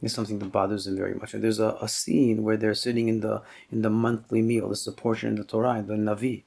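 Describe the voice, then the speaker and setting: A male rabbi lectures in a low register, his voice carrying a gravelly, slightly husky texture. He works through ideas thoughtfully, pausing and restarting often. Hebrew terms slip naturally into his explanations. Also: little pitch movement, darkish tone. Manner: halting, thoughtful explaining with many pauses and restarts. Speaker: rabbi teaching a class. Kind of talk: Torah class lecture.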